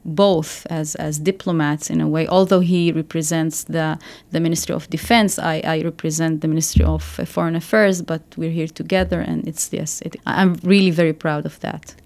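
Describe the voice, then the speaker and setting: Soft-spoken woman, a radio interview guest. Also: low voice, little pitch movement, creaky. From Israel. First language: Arabic. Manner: measured, hesitant, many fillers and restarts.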